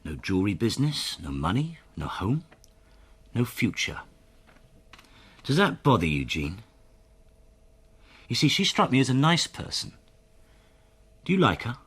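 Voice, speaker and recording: Husky, sardonic police inspector. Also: male voice, mid-range voice, wildly swooping pitch, a little slow, pauses often, a bit bright, exaggerated voice. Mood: pressing, accusing. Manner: needling, sarcastic interrogator. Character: hard, sardonic, obsessive male police detective inspector. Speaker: actor in radio drama. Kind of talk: radio drama acting.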